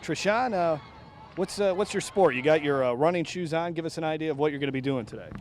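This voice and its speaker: A man interviewing people on site for a local broadcast outdoors at a youth track meet, upbeat and quick with follow-up questions. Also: a little high, lively intonation, slight background noise. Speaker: sports event interviewer.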